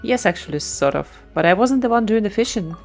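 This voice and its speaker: A woman's voice: medium pitch, expressive, a little fast, darkish tone, faint music behind. A woman streaming a game, giving casual, offhand and playful reactions.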